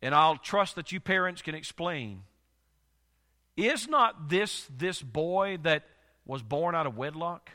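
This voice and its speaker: A male pastor preaching a sermon while congested, his voice nearly gone, swinging from soft to loud with slow, emphatic delivery. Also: fairly high pitch, animated, long reverb tail.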